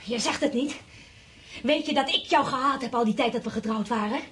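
An actress playing a feverish, frightened wife in a radio play, speaking intensely in a husky, breathy voice. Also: female voice, high voice, fairly bright tone, slight background noise. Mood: agitated, accusing. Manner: intense, halting recounting and insistent questioning. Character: feverish, frightened wife, haunted by bleak dreams.

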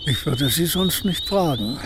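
A bitter, gloomy old painter. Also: male voice, on the high side, lively intonation, measured pace, noisy background. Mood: gloomy, scornful. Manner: curt, dry and scornful. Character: gloomy aging male painter, bitter and sardonic. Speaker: actor in a radio drama. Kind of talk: radio drama acting.

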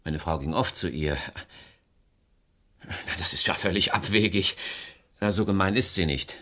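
Troubled male suspect, radio crime play: low voice, defensive, hesitant, easily agitated. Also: a little slow, fairly bright tone. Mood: shaken, brooding. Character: troubled, defensive man named Paul under suspicion, crime suspect. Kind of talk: German radio crime drama acting.

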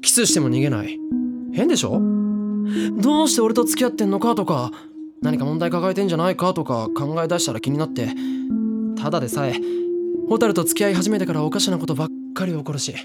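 A man's voice: fairly high pitch, expressive, fast, lightly acted. An actor in a drama CD playing a reckless, thrill-seeking young man who talks in slangy, clipped casual speech. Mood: candid. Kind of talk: drama CD acting. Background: background music.

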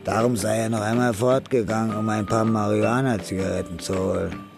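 A man narrating a scripted radio crime drama in a measured, matter-of-fact way. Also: lowish voice, fairly even intonation, creaky, music in the background.

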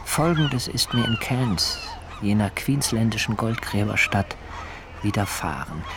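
An actor playing an idealistic German planter in the colonial South Seas, speaking earnest, formal, ornate German in a radio drama. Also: male voice, fairly low pitch, big pitch swings, a little slow, noisy background. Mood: grave recounting. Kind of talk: radio drama acting, first-person storytelling. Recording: music under the voice.

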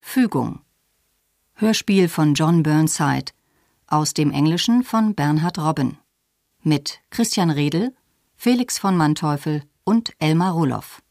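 A woman's voice: low voice, lively intonation, takes it slowly, darkish tone, no echo, studio quality. A woman announcer reading radio drama credits in a formal, scripted style.

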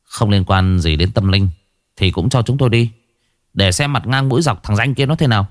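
A man voicing a plain-spoken man who asks to come along in a calm, matter-of-fact way. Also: lowish voice, fairly quick, no room sound, studio quality, lightly acted. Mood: firm.

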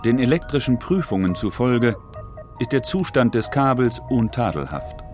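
An actor playing an exacting nineteenth-century engineer, giving reports in formal, precise diction as a dramatized reading. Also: male voice, medium pitch, animated, moderate pace, over music, natural voice. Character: 19th-century male engineer reporting on cable laying, exacting.

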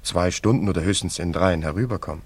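A man's voice: deep voice, quick pace, breathy edge. An actor playing a carefree, teasing young wandering man in a German radio play, in an easygoing, bantering way. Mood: curious, friendly.

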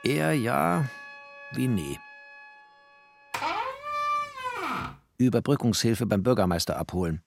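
An actor playing a wry, bookish narrator, a poor postwar settler, in literary first-person narration. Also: male voice, medium pitch, sing-song, normal speed, creaky, music in the background. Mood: ironic, musing. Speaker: narrator of a literary radio play.

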